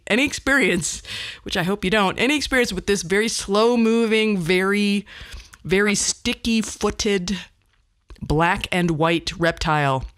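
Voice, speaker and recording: A woman with a slightly low voice hosts a solo podcast. She tells personal stories in a casual, chatty way. She rambles off into wry asides as she goes. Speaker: podcast host.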